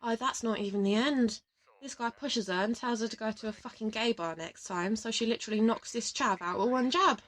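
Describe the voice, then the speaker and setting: A woman's voice: on the high side, a little fast, close to an everyday voice. A woman playing a brash, hard-drinking young agent in an audio roleplay, teasing and slangy. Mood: fond reminiscing.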